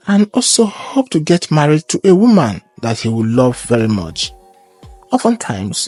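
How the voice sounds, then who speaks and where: A man narrates a folktale in a fairly high voice that rises and falls with lots of animation. His delivery is measured and formal, with a husky, breathy edge, over background music. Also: darkish tone, no room sound. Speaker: storyteller.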